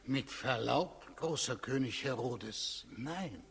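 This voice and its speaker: A croaky, obsequious high priest. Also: male voice, expressive, heavily acted. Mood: cautious, deferential. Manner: deferential, solemn, ceremonious, halting. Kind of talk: 1960s German radio play acting. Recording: 1960s radio recording, reverberant hall.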